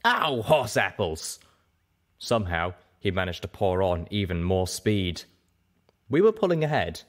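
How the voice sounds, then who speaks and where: A man narrating a scripted story as a dramatic audiobook reader. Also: low voice.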